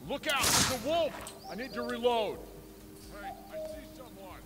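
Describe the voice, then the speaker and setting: A man's voice: extremely high pitch, expressive, normal speed. A man voicing an alarmed frontier gunman in a western video game, urgent and terse. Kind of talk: video game combat barks. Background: game music.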